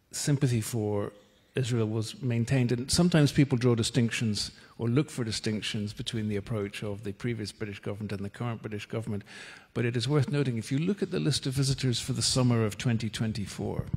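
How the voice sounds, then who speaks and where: A man with a Northern Irish accent, speaking as a diplomat on a panel, measured and deliberate. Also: lowish voice, darkish tone. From Northern Ireland. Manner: measured, deliberate, with occasional repeated words. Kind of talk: formal panel discussion. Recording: long hall reverb.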